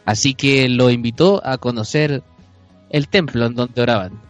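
A man with a Chilean accent narrating an improvised comic radio play in halting, mock-solemn phrases. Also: medium pitch, no room sound, lo-fi sound, soft background music. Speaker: narrator on a comedy improv show.

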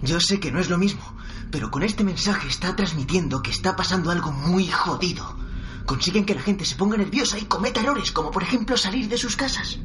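A man's voice: high voice, expressive, brisk, soft background music. A man playing a bossy, suspicious young survivor of a zombie apocalypse in a radio serial, arguing sharply. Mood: firm, argumentative. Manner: argumentative, sharp-tongued, interrupting. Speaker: actor in an audio drama. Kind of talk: dramatic radio serial acting. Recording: clearly noisy background ambience.

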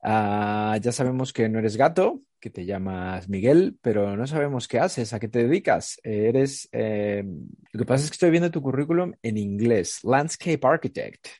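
A man's voice: medium pitch, expressive, normal speed, darkish tone, no room sound, studio quality. A man hosting a podcast, asking his guest teasing, jokey questions. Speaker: podcast host. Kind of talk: casual interview chat.

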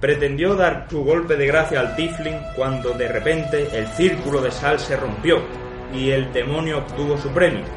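A man's voice: fairly high pitch, normal speed. A man narrating a fantasy radio drama as game master in a dramatic, scripted style. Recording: echoey room with music behind.

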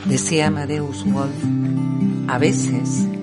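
A woman's voice: low-pitched, steady tone, slow. A woman presenter reading a scripted quotation in a radio programme. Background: loud acoustic guitar music.

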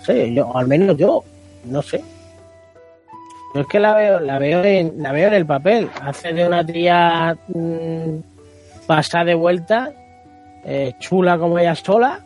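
A man's voice: fairly high pitch, lively intonation, measured pace, long pauses, darkish tone. A man with an accent from Spain, a podcast panelist chatting in an emphatic, colloquial way and repeating himself. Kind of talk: casual chat. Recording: faint music behind the voice.

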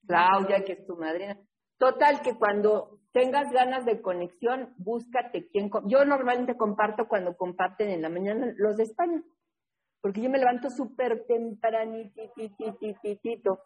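Lively woman leading a support meeting. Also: on the high side, moderate pace, highs cut off. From New England, US. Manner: lively, keeps checking in with a tag question. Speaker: recovery workshop leader and sponsor. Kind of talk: casual storytelling talk in an online support meeting.

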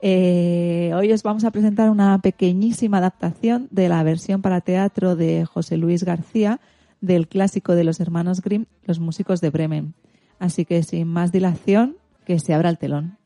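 A woman's voice: lowish voice, steady tone, normal speed, warm tone, dry recording. A woman hosting a radio programme in a casual style.

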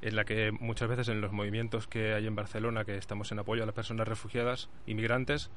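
Man, fast talker, narrow melody, casual guest commentary, slight background noise. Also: fairly low pitch, flat delivery, fairly bright tone.

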